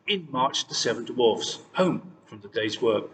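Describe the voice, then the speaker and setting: A man reading a fairy tale aloud as scripted, expressive narration. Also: medium pitch, measured pace. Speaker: storyteller. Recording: distant, reverberant hall sound with noise.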